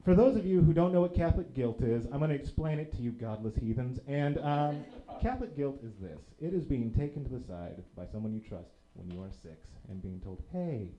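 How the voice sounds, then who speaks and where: A man's voice: brisk, hardly any pauses, highs cut off. Self-deprecating stand-up comic man. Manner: self-deprecating, lively delivery with drops into low deadpan for punchlines. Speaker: stand-up comedian. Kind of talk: stand-up comedy set. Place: small comedy theater stage. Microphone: handheld microphone. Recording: live club recording with audible background noise. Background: audience laughter.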